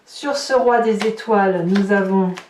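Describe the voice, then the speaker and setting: A woman's voice: mid-range voice, unhurried, darkish tone, a little hiss or hum. A woman giving an unscripted tarot reading to viewers, repeating words for emphasis as she searches for the next one. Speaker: tarot reader, host of horoscope guidance videos. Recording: roomy echo, distant microphone.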